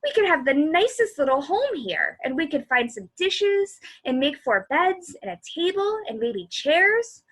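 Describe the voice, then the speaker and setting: Eager, resourceful young storybook girl played by a woman reader, very high and lively, emphatic delivery. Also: unhurried, few pauses. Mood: eager, pleading. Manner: exclamatory, urgent, emphatic.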